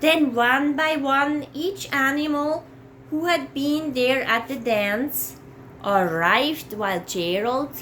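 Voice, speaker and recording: A woman reading a children's picture book aloud in an expressive, dramatic way. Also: sits high, slight background noise. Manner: slow, dramatic phrasing for young children.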